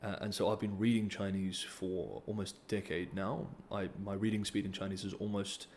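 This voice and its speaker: A man's voice: sits low, level tone, normal speed, a little mellow, slight background noise. A man, hosting a casual livestream Q&A and reading donor comments, hesitant, with many ums and restarts. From New Zealand. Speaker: livestream host.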